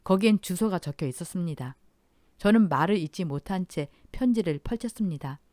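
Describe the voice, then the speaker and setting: A woman narrating a scripted audio drama in the first person, calm and measured. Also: fairly low pitch, no room sound, clean studio sound. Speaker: storyteller.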